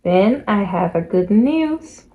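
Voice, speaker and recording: A woman's voice: medium pitch, animated, slow, voice pushed down. A woman voicing a cheerful, matter-of-fact little pig friend from a picture book, explaining things plainly. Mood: reassuring. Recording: muffled lo-fi home recording, background noise.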